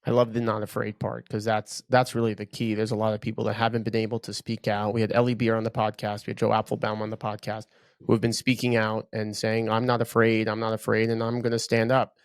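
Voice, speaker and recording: A man's voice: on the low side, completely flat delivery, quick pace, clean tone, no echo. A man hosting a podcast, enthusiastic and casual, repeating words and using lots of fillers as he asks questions. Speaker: podcast host. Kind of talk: casual interview talk.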